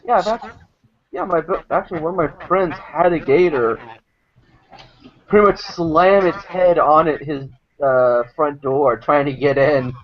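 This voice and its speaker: Easygoing young man, slightly high voice. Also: measured pace. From born in South Dakota, raised in Florida. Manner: easygoing storyteller, laughs at his own tales. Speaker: guest on the stream. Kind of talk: casual chat. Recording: muffled voice chat audio.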